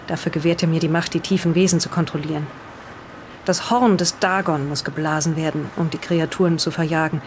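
Someone voicing an earnest, defensive island resident in an improvised radio play, speaking deliberately as if reading aloud. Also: medium pitch, steady tone, slight background noise, close to an everyday voice. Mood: solemn reading.

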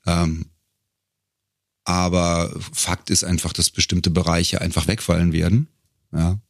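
A man's voice: sits low, level tone, measured pace, dry recording, clean studio sound. A middle-aged man talking casually and reflectively as an interview guest, feeling his way through phrases. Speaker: guest, professional voice actor.

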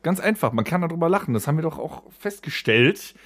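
A man's voice: on the high side, lively intonation, quick pace, breathy edge, dry recording. A man co-hosting a podcast with casual, bawdy jokes and colloquial talk. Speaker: podcast co-host. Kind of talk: casual, joking podcast banter.

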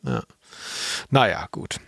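A man's voice: takes it slowly, fairly bright tone, no room sound, studio quality. A male podcast co-host giving casual, humorous commentary, explaining things with ironic understatement.

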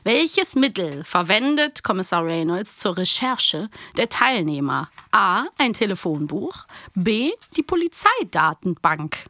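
A woman's voice: medium pitch, very animated, measured pace, pushed and bright, no echo. A woman hosting a casual audio magazine, chatting in a blunt, teasing way. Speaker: podcast host.

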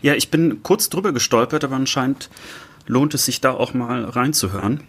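Husky-voiced male podcast co-host. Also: medium pitch, a little fast. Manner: relaxed, laughs often, hesitant fillers. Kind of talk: casual podcast chat.

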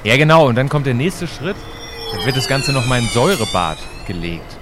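A man's voice: mid-range voice, animated, fairly quick, noisy background, close to an everyday voice. A man playing an inquisitive, easygoing time-travelling battery expert in an audio drama, speaking casually and a little hesitantly. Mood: curious, explaining. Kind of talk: amateur educational audio drama dialogue.

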